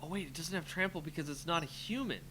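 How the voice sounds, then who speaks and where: A man's voice: on the high side, fast talker, bright, forceful tone, rough recording. A man giving live gameplay commentary, thinking aloud in a casual, reactive, muttering way as he streams a card game. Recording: clear background noise with hum.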